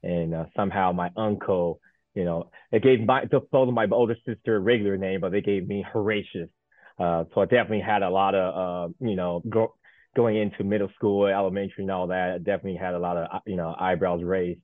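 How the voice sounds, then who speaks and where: A man's voice: low voice, fairly even intonation, quick pace, no room sound. Man from Taiwan on a tinny line. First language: Mandarin. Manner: rambling, many fillers and restarts. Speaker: guest, Paralympic sled hockey goaltender. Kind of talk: casual interview chat.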